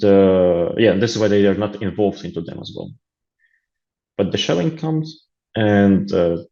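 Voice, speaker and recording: A man's voice: sits low, darkish tone, dull audio. A man with a Latvian accent and Russian as his first language, talking as an interview guest, hesitant with many fillers and restarts. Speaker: interview guest, aid volunteer. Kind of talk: casual interview talk.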